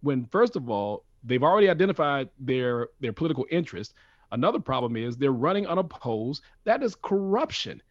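A man's voice: mid-range voice, big pitch swings, fairly quick, no echo, highs cut off. A man appearing as a guest professor on a radio discussion, arguing his points in an emphatic, lecturing way.

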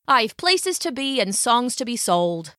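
A woman's voice: on the high side, very animated, a little slow, sharp tone, no echo, studio quality, exaggerated voice. A woman voicing a jaunty male piper, a wandering storybook trickster who speaks in sing-song rhyme. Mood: jaunty, confident. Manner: sing-song rhyming delivery.

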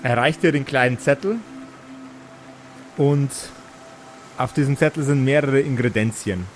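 A man's voice: mid-range voice, measured pace. A man, narrating and improvising as game master in a live tabletop role-playing game. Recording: music behind the voice. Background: music.

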